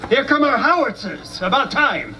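A man's voice: very high voice, animated, normal speed, bright, forceful tone, slight background noise, full character voice. A man playing a brash, scornful US Army dragoon in a live historical reenactment, blustery and folksy in manner. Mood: excited. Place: outdoor stone amphitheater in open scrubland. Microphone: stand mics, recorded from a distant camera. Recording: outdoor stage from far off.

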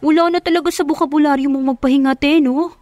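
A playful young woman, the heroine's chatty best friend voiced by a female narrator, in a radio drama love story. She banters in slang, pitched high, with a dry close sound. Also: fairly even intonation, fast talker, a little mellow, no room sound, lightly acted. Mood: teasing.

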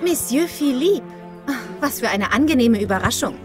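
An actress playing a kind, determined young horse rider in a children's cartoon, gentle and encouraging. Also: female voice, a little high, expressive, normal speed, over music, natural voice. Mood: polite, guarded.

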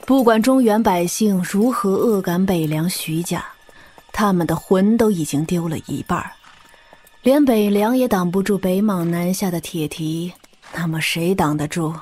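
An actress playing an astute, composed woman in a martial-arts audio drama, speaking in a serious, analytical way with a husky voice. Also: medium pitch, a little mellow. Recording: music behind. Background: background music.

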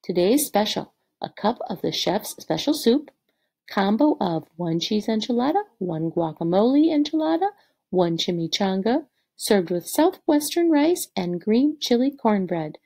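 Animated woman reading to children, mellow voice. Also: mid-range voice, unhurried, vocal fry, slightly rough. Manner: expressive, instructive, addresses children directly. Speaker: teacher reading aloud to third graders. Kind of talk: animated picture-book read-aloud.

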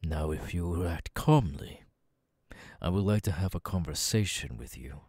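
A man voicing a menacing, haughty, sadistic demon king in an ASMR audio roleplay, soft-spoken and condescending. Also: deep voice, lively intonation, normal speed, slightly rough, dry recording, full character voice. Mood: calm, threatening. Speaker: voice actor.

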